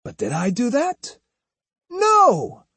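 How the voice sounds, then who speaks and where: A man's voice: extremely high pitch, huge pitch swings, takes it slowly, sharp tone, slightly rough, dry recording, voice pushed up, full character voice. A man voicing an arrogant, sneering master swordsman who lectures haughtily with rhetorical questions in an audiobook story. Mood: scornful, triumphant.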